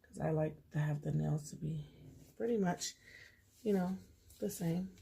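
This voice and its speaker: A woman whose voice is going hoarse talks her viewers through a nail tutorial in a casual, chatty way. Her voice sits low, with gravel and a husky, breathy edge. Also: measured pace, warm tone. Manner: chatty, talks straight to viewers while working. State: losing her voice. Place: home table, indoors.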